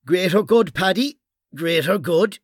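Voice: medium pitch, expressive, unhurried, dry recording, clean studio sound, voice pushed up. A performer voicing a brash, conniving and sardonic policeman in an audiobook, with a theatrical, commanding manner. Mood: urgent, bossy.

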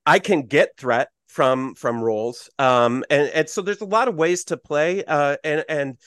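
A man's voice: fairly high pitch, unhurried, a bit bright, smooth, no echo, clean studio sound. A man acting as game master on a podcast, explaining dice rules in a loose, self-correcting way with plenty of fillers.

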